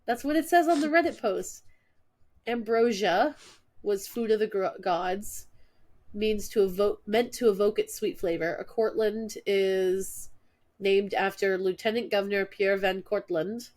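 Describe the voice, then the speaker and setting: A woman's voice: medium pitch, measured pace, a little mellow. A woman co-hosting a casual podcast, stuffed up from allergies, reading names and facts aloud with dry, wry remarks. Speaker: podcast co-host.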